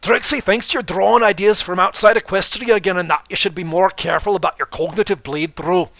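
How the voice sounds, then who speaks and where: A man's voice: high voice, fairly even intonation, fairly quick, vocal fry, fairly bright tone, dry recording, pitched above the performer's own voice. A man voicing a boastful, indignant female pony stage magician who declaims haughtily in the third person for a story reading. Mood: haughty.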